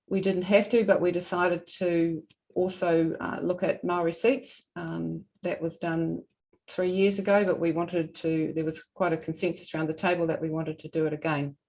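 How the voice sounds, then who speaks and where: A woman with a New Zealand accent and a fairly low voice presents at a formal hearing. She feels her way through the points, often stopping to rephrase. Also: darkish tone, low-quality recording. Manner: halting, hesitant delivery with frequent self-corrections. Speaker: regional council representative presenting.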